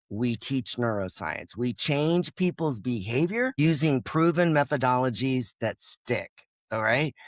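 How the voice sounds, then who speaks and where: A man speaking with passion and emphasis as a podcast guest, in a voice of middle pitch. His voice sounds dry and close to the mic. He leans hard into the key words. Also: dry recording. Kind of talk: energetic interview talk.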